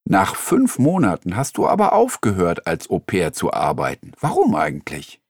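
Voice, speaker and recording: A man in a studio, reading interview questions in a scripted exam dialogue in a clear, announcing manner. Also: deep voice, huge pitch swings, moderate pace, a little mellow, no room sound.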